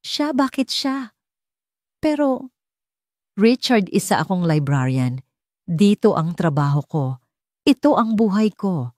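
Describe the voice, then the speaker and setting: Woman reading as modest, hesitant mellow-voiced librarian. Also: mid-range voice, very animated, slow pace, pauses often, dry recording, clean studio sound, pitched above the performer's own voice. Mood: startled, hesitant. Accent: Filipino. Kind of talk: audiobook character dialogue.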